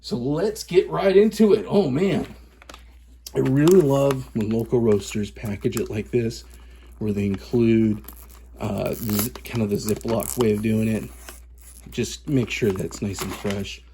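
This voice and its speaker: A man in his thirties from Southern California, chatting excitedly and casually as he reviews coffee, with plenty of fillers and restarts. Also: on the low side, measured pace, a little hiss or hum. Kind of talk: casual vlog chat.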